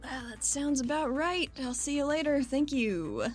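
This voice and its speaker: A woman plays a worn-down businesswoman investigator in a tabletop game. Her voice is fairly high, casual and sardonic, with faint music underneath. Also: very animated, moderate pace. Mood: wry, weary.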